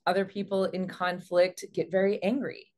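A woman in her forties speaking as a relationship coach, explaining things to camera in an earnest, measured way. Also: fairly low pitch, little pitch movement, a little mellow. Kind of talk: explanatory advice talk to camera. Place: small indoor room.

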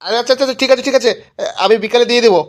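A man's voice: very high voice, steady tone, racing. A man voicing a village grandfather in a cartoon comedy, flustered and evasive, protesting and making excuses. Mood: placating. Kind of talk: amateur cartoon comedy voice acting.

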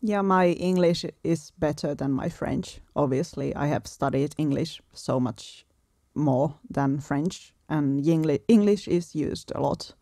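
A woman from Finland with a low voice co-hosts a podcast in earnest, reflective chat and laughs often. Her voice sounds dry and close, with no room echo. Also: unhurried, warm tone. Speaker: podcast co-host. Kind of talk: casual chat.

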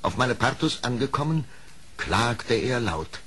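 A man narrating an old audio play as a storyteller, measured and expressive, in dramatic scripted style. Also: mid-range voice. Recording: faintly hissy old audio play record.

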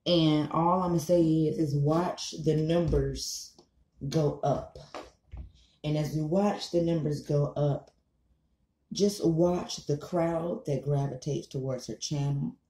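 A woman's voice: sits low, fairly even intonation, takes it slowly, mellow, slight background noise. An American woman in her thirties giving a casual, blunt, emphatic and repetitive rant to camera, swearing freely. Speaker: online commentary vlogger. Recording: echoey small room.

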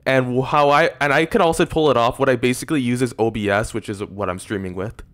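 A young man streaming from a desk mic, chatting casually and excitably with lots of fillers. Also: mid-range voice, quick pace. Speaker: streamer and audio editor. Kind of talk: casual reaction stream chat. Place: indoor room at desk in gaming chair. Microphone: desk microphone on arm.

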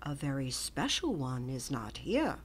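A woman's voice: a bass voice, big pitch swings, a little slow, breathy edge, pitched below the performer's own voice, full character voice. A woman reading the lines of a kind, grand male Easter Rabbit in a storybook. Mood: stately.

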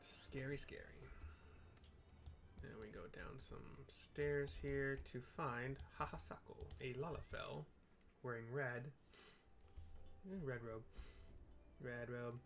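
Gravelly man muttering through a game. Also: medium pitch, fairly even intonation, takes it slowly, pauses often, a bit bright, faint music behind. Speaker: game streamer. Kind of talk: casual let's-play commentary. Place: small room at home.

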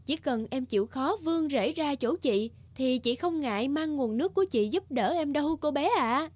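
A woman's voice: high-pitched, animated, normal speed, dry recording. A woman voicing a kind, generous big-sister pond spirit in a children's cartoon fairy tale. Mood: reassuring. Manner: gentle, reassuring.

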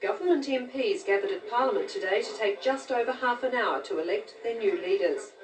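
A woman's voice: a little high, expressive, fairly quick. New woman reporter, distant and echoey. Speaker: news reporter. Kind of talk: scripted news voice-over. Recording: roomy, distant, noisy TV capture.

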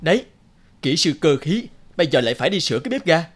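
Grumbling, animated cartoon engineer. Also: male voice, fairly high pitch, quick pace. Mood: bitter, boastful. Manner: grumbling, dismissive. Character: disgruntled, boastful male mechanical engineer, thirties. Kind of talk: animated film dialogue.

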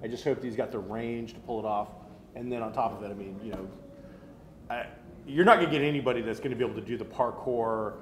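A middle-aged man in casual panel chat about pop culture, speaking as an opinionated, excitable fan. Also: fast talker. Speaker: geek talk show panelist. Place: indoor room, panel around a table. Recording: roomy echo, faint rumbling noise.

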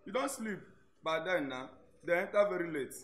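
A man's voice: high voice, expressive, moderate pace, a bit bright. Echoey young Nigerian male student roommate, acted by a man. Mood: helpful, casual. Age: young adult. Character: welcoming, joking young male second-year student roommate. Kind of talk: radio drama acting in Nigerian Pidgin. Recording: echoey room, faint noise.

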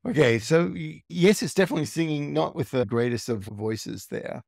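A man's voice: fairly high pitch, expressive, moderate pace, vocal fry, a little mellow, dry recording, clean studio sound. A man hosting a tech tutorial, casually walking through a screen recording and thinking aloud with small restarts.

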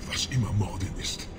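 Sickly, suspicious dying alien. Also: male voice, low-pitched. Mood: resigned. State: sick, coughing, weakened. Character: dying plague-sick male alien, bitter, suspicious of humans. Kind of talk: video game dialogue acting. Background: game music.

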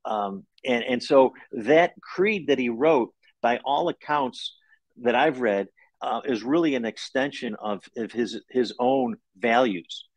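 A middle-aged man speaks as an interviewed author, laying out a story step by step. His delivery is measured and conversational, with some halting moments. He is on a video call from home, with very little room echo. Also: medium pitch.

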